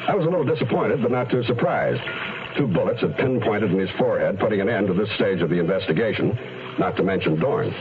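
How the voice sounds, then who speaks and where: An actor playing a world-weary private detective in a radio drama, dry, wry and understated in manner. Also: male voice, lowish voice, lively intonation, fast talker, natural voice. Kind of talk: hardboiled radio drama dialogue and first-person narration. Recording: noisy, tinny phone-like radio recording.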